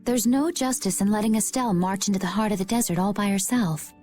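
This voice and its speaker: A woman playing a composed young warrior in video game dialogue, calm in manner. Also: mid-range voice, fast talker, soft background music, natural voice.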